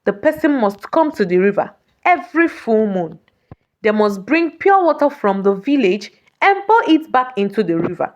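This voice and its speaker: A woman voicing a gentle, solemn river goddess in a folktale, speaking in an instructive, measured manner. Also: on the high side.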